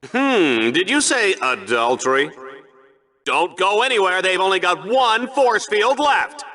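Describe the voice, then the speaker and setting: A man's voice: high voice, big pitch swings, unhurried, sharp tone, exaggerated voice. A man voicing a smug, cowardly show-off arena commentator in a video game, theatrical and sarcastic in an over-the-top game show style. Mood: excited, sarcastic. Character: smug, hammy male sci-fi arena sportscaster, cowardly show-off. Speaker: sports commentator.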